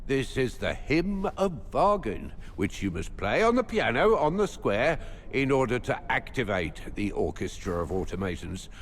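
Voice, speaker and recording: An actor playing a fussy, pompous, overworked academy rector in a video game, with hesitant, formal phrasing. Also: male voice, mid-range voice, big pitch swings, normal speed, long reverb tail, a little hiss or hum. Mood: pompous, helpful. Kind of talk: video game dialogue acting.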